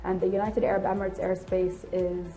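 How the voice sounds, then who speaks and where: A woman's voice: on the low side, monotone, brisk, warm tone, faint music behind. A woman in her late twenties giving candid, articulate explanations in an interview. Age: late 20s. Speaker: air traffic control officer and instructor being interviewed.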